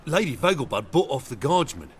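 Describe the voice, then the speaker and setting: A man's voice: fairly high pitch, sing-song, fairly quick, a little hiss or hum. An actor playing a meek, deferential valet to a noble lady in a video game, with a servile, folksy way of talking. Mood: earnest, worried. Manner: servile, folksy, dropped words. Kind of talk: video game dialogue acting.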